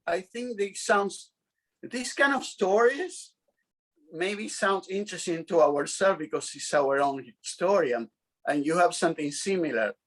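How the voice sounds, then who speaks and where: A middle-aged man being interviewed, talking frankly in a halting, self-conscious way. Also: high-pitched, lively intonation. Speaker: interview guest. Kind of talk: casual interview chat.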